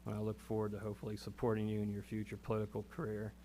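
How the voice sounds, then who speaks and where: Man, low voice, flat delivery, earnest and folksy, council meeting remarks. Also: slight background noise. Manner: earnest, folksy, unhurried with fillers. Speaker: council member.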